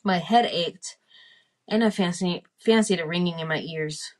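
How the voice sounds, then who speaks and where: A woman with a fairly low voice reads a classic story aloud at a very slow pace. She is careful and a little halting, sounding out difficult words piece by piece. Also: darkish tone. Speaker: reader of a storytime podcast.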